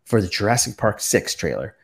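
A man's voice: fairly low pitch, very animated, fairly quick, gravelly. Casual man hosting a podcast. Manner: informal, swearing. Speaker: podcast host. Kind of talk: casual pop culture podcast chat.

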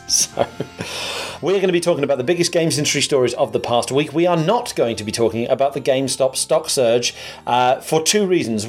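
A man hosting a podcast, introducing his co-hosts and the week's topic with light jokes in a relaxed way. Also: medium pitch. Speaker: podcast host.

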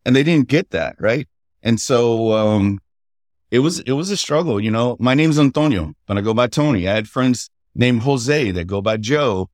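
A middle-aged man, a podcast guest, telling family stories in a halting, conversational way without a regional accent. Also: mid-range voice, expressive, darkish tone, dry recording, clean studio sound. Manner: halting, with many fillers and restarts. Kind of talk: casual interview storytelling.

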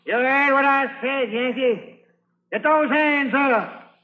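An actor in a vintage radio drama playing a gruff, taunting Confederate soldier with a commanding, folksy manner. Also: male voice, very high voice, slow pace. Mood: threatening. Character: gruff, bullying Confederate soldier on sentry duty. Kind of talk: 1950s radio drama acting. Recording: muffled old radio broadcast.

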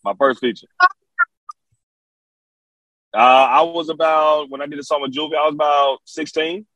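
A man's voice: fairly high pitch, fairly even intonation, normal speed, fairly bright tone, dry recording, poor audio. A man from Memphis with a Southern accent, a rapper casually telling stories as an interview guest, peppering his talk with slang and repeated filler phrases. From Memphis, Tennessee. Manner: repetitive, slang-peppered, frequent "you know".

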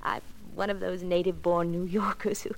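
A woman's voice: lowish voice, level tone, a little fast, a little hiss or hum, natural voice. An American actress playing an anxious, evasive married woman client in a classic radio drama, hesitant and imploring. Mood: guarded, anxious.

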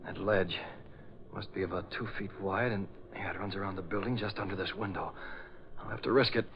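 Radio serial lead: resolute crime-fighting hero, low, clipped urgency, muffled old recording with noise. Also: male voice, fairly even intonation, a little fast. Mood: tense, cautious. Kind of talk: 1940s radio acting.

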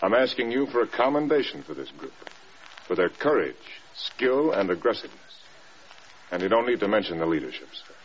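Stern general, old radio sound. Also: male voice, mid-range voice, big pitch swings, fairly quick, gravelly. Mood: defiant, firm. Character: stern, defiant air force general commanding bombers. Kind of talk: 1940s-50s radio drama acting. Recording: muffled, hissy old radio recording.

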